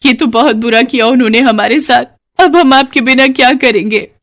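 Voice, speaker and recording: A woman's voice: a little high, moderate pace, fairly bright tone, dry recording, clean studio sound, voice pushed up. Woman acting a plaintive, devoted village wife. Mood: distressed. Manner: plaintive, earnest. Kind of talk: acted storybook dialogue.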